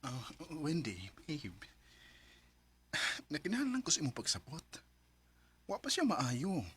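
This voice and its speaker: Casting: troubled Cebuano boyfriend and father, husky voice, coaxing radio-drama delivery. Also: fairly high pitch, huge pitch swings, unhurried, fairly bright tone, heavily acted. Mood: pleading. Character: pleading, troubled boyfriend and father, sweet-talking. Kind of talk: Filipino radio drama acting.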